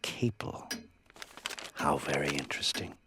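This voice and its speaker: A man hosting an audio horror anthology, delivering a theatrical scripted introduction in a deliberate, playfully ominous manner. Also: very deep voice, expressive, background noise. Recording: voice over prominent music.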